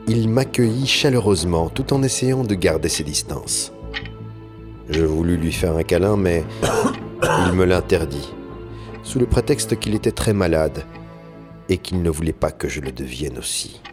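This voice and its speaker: A man reading a short story aloud in first person, measured and literary. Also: low voice. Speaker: reader. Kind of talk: scripted storybook narration. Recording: narration over music. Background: music.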